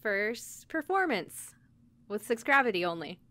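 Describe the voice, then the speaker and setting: A woman with a mid-pitched voice co-hosts a podcast in a casual, chatty way. She retells a story informally, slipping into quoted asides and filler words. The sound is dry and close, with no room echo. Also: sing-song, measured pace, sharp tone. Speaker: podcast co-host recapping an anime episode. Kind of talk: casual chat, episode recap.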